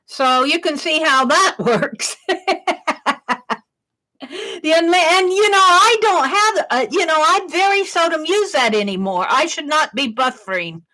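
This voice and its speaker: A middle-aged woman talks through her art livestream in a loud, projected voice, thinking aloud and chatting with viewers. Her voice sits high and bright, and she speaks at an easy, unhurried pace. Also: lively intonation, low-quality recording. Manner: chatty, thinks aloud, reads chat comments. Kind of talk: casual livestream chat while crafting.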